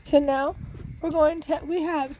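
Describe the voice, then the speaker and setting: Woman, 40s, losing her voice; high, chatty homesteader explaining a recipe outdoors into a close phone camera. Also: fairly even intonation, measured pace, dark tone, a little hiss or hum, rough recording. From Iowa, US. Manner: chatty, explains step by step with many restarts. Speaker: homesteader and beekeeper. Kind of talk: casual how-to cooking demonstration. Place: outdoor back deck in a farm yard. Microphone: phone camera held close.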